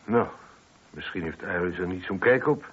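A man playing a brisk, probing police inspector in a radio drama, sober and in charge. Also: low voice, expressive, breathy edge. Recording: dull, muffled old radio drama recording.

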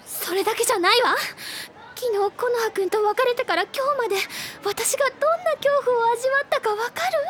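A woman voicing a dramatic, excitable teenage schoolgirl who is a club senior, talking theatrically and swinging between hushed whispers and outbursts. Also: very high voice, a little fast, a little hiss or hum. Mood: fretful. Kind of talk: studio audio drama.

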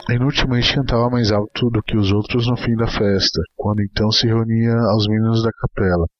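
A man's voice: lowish voice, little pitch movement, fairly quick, highs cut off. A man narrating a short story as a dramatic literary reading.

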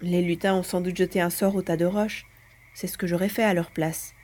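A woman's voice: on the low side, flat delivery, brisk, darkish tone, lightly acted. A woman playing an earnest, curious little boy in a scripted audio storybook. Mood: eager. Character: curious, eager little boy, a grandchild at bedtime.

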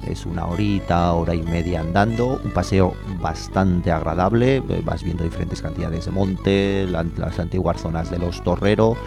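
A man's voice: deep voice, fairly even intonation, normal speed, creaky, few pauses, music in the background. A man chatting informally and enthusiastically as an environmental educator on a radio show, piling up descriptive words. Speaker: environmental educator, guest contributor. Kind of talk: informal radio chat recommending walks.

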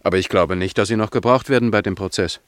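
Radio drama actor as desk policeman: male, lowish, rapid, official but chatty. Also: dry recording, natural voice. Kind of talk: German radio drama acting.

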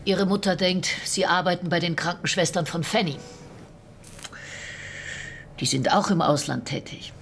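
An actress playing a composed British intelligence officer in a radio drama, giving clipped, matter-of-fact instructions. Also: female voice, on the low side, moderate pace, gravelly, long pauses. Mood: measured, reassuring. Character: composed British woman intelligence officer briefing her agent. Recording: loud vehicle noise behind.

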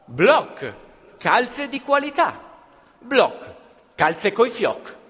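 A man's voice: extremely high pitch, very animated, very slow. A man narrating dramatically as an actor-storyteller in radio theatre, declamatory, with rhetorical questions and repetitions. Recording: reverberant hall, live music behind. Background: live violin and plucked strings.

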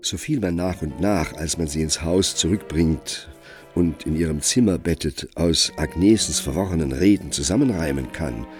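Deep, slow male radio play narrator. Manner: measured, expressive storytelling.

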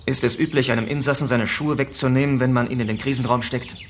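An actor playing a dogged police sergeant in a German radio drama, probing, blunt and sarcastic. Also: male voice, medium pitch, fairly even intonation, quick pace, slight background noise, natural voice. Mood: stern, sarcastic.

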